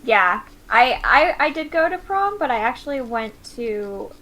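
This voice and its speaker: A woman's voice: on the high side, lively intonation, a little slow, bright, forceful tone, poor audio. A woman in her twenties chatting casually on her stream while drawing, with plenty of fillers. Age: 20s. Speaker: streamer host.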